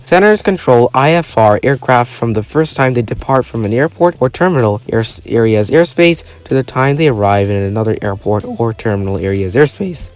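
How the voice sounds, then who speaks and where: Man reading an explainer over clear music. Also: medium pitch, darkish tone. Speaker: narrator of an explainer video. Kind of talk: scripted educational narration, read aloud.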